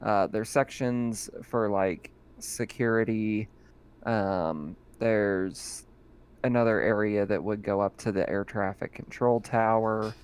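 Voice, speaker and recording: A man's voice: lowish voice, slow, darkish tone, clear, resonant tone. A man running a tabletop game as game master, talking loosely with plenty of ums and restarts. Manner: loose and chatty, many fillers and restarts.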